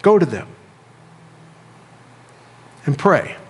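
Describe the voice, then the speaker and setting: A middle-aged American man preaching a sermon as a pastor, speaking in measured phrases with pauses and repetition. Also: fairly high pitch, very animated, breathy edge. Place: church sanctuary, indoors at a lectern. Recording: reverberant church hall.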